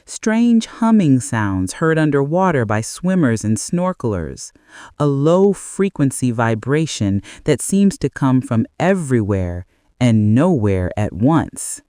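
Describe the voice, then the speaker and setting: Slow, expressive male mystery narrator. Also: medium pitch, clear, resonant tone, no echo, clean studio sound. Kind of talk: scripted bedtime mystery story narration.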